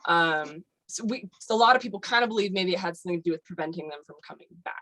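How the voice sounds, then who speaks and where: A woman's voice: fairly low pitch, very fast, a bit bright, dry recording. A woman guest on a podcast, explaining at length in casual chat with many fillers like "um" and "like". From United States.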